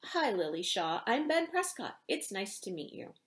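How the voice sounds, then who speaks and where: A woman's voice: mid-range voice, big pitch swings, moderate pace, close to an everyday voice. A woman voicing a frantic, weary male movie star with an urgent, commanding or pleading manner in a story reading. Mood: tired, polite. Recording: roomy home echo.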